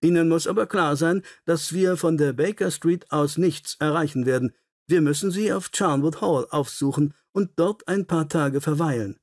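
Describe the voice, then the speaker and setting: A self-assured Victorian detective , read by a male audiobook narrator, courteous and keen. He speaks formally and briskly in a slightly high, husky voice, recorded dry. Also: a little mellow, no echo. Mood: decisive.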